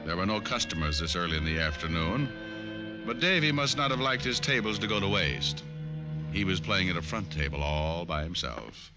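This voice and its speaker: An actor playing a wry, wisecracking private detective who also narrates, in old radio drama style. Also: male voice, deep voice, animated, normal speed. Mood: narrating, matter-of-fact. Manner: wisecracking, sardonic. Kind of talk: 1940s-50s radio detective acting and narration. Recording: old radio broadcast with music.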